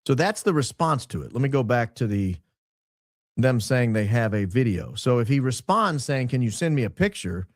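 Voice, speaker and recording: A man hosting a casual commentary on audio clips, questioning, halting and often repeating himself. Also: mid-range voice, expressive, no room sound, studio quality. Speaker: host.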